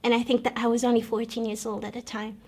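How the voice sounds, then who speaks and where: A young woman from the Democratic Republic of Congo telling her own life story in an earnest, measured way, pausing and restarting often. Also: on the high side, flat delivery, darkish tone, husky. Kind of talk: personal testimony, recalled storytelling.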